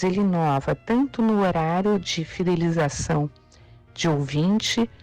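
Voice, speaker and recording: An interviewed expert explaining in a thoughtful way, pausing often with fillers. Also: mid-range voice, darkish tone.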